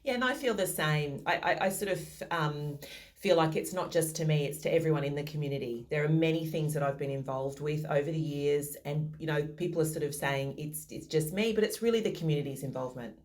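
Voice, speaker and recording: A woman's voice: deep voice, brisk. A modest, thoughtful woman answering interview questions over a video call. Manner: modest, thoughtful, some restarts.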